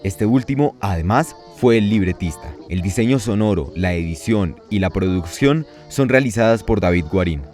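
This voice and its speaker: Low-voiced male credits announcer. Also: lively intonation, moderate pace, hardly any pauses, a little mellow, faint music behind.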